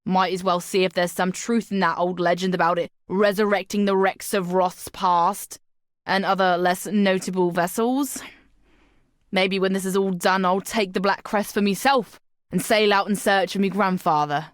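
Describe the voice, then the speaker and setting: A woman's voice: mid-range voice, fairly even intonation, normal speed, no echo, studio quality, lightly acted. An actress playing a brash, bawdy young female pirate in a video game, talking in swaggering, salty old-time sailor slang. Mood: wistful, determined. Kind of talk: video game character dialogue.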